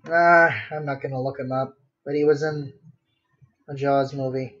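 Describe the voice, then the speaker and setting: A man's voice: medium pitch, fairly even intonation, moderate pace, a bit bright, muffled recording. A man chatting casually on a drawing livestream, rambling to himself in a playfully dramatic way. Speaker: streamer, digital artist.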